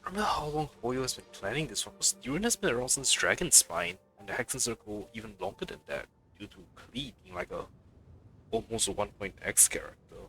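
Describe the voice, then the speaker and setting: A man's voice: medium pitch, sing-song, brisk, vocal fry, bright, forceful tone, soft background music, low-quality recording. A man giving casual live commentary as he plays a game, musing aloud and reacting. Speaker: game streamer.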